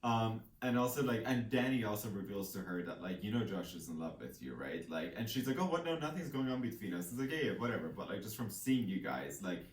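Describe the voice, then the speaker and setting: A man's voice: on the low side, sing-song, brisk, hardly any pauses. A man co-hosting a casual book chat, retelling a story in a loose, rambling way with bits of quoted dialogue. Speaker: co-host of a book discussion show. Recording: echoey room, distant microphone.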